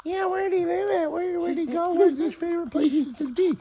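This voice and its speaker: A man's voice: very high voice, steady tone, fairly quick, warm tone, a little hiss or hum. A man voicing an eager little puppet critic who chatters and repeats himself in a folksy improvised puppet show.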